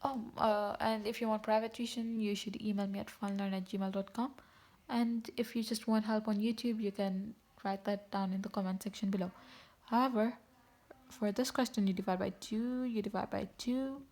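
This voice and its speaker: Woman, math tutor, casual and chatty, fixes her slips out loud, mid-range voice. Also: mid-range voice, fairly even intonation, moderate pace. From Tanzania. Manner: chatty, self-correcting, talks to viewers directly. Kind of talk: casual teaching video.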